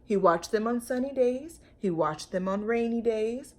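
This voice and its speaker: A woman narrates a picture book for young children in a fairly high voice that swoops up and down. She takes her time, stretching words and acting out the little sound effects as a storytime reader. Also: expressive, a little slow, a little mellow. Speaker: storytime host reading aloud.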